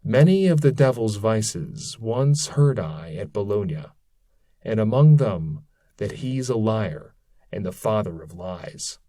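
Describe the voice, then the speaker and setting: A man reading as slow, weary damned friar. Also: fairly low pitch, expressive, mellow, no room sound. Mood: dry, knowing. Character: grieving damned friar, hypocrite's shade in leaden cloak. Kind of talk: dramatic reading of epic verse.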